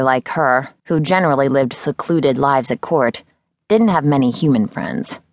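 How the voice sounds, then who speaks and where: Low-voiced woman narrating a novel, close and dry. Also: no echo. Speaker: audiobook narrator. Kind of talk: scripted first-person novel narration.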